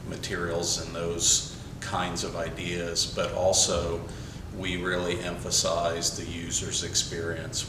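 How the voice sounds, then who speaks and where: Deep flat-voiced man, far-off echoey mic. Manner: measured, lists ideas one by one. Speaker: architect. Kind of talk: documentary interview. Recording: echoey room, mic set far off, noisy.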